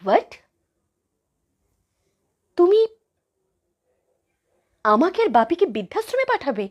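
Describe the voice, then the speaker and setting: Lady doing a snooty scolding daughter in law in a family drama, high voice, very up and down. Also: lively intonation, a little slow, muffled recording. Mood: shocked, indignant. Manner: sharp, contemptuous, imperious. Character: haughty, scolding modern daughter-in-law and mother. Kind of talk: solo voice-modulated Bengali family skit.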